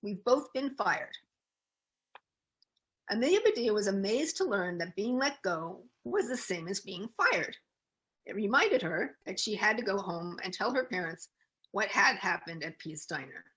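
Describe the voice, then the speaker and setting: A woman narrates a children's story as a storyteller. Her pitch is medium for a woman and moves around in a lively way, with expressive, deliberate phrasing meant for young listeners. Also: low-quality recording.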